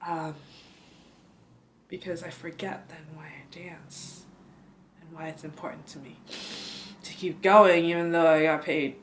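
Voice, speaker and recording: Young woman, from Taiwan, lower pitch, soft halting delivery, reflective interview talk. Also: steady tone, slight background noise. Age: young adult. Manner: soft, halting, often trailing into a whisper. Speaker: dancer interviewee.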